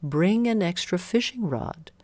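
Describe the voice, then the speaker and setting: A woman voicing a wise old village man who gives calm, measured advice in a bedtime story. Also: on the low side, no echo. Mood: calm, advising. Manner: measured, gently instructive.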